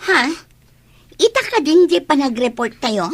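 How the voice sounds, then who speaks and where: Boastful young classmate, woman actor, fairly high, swooping intonation, dry radio drama sound. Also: big pitch swings, measured pace, no room sound. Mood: surprised, challenging. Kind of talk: Ilocano radio drama acting.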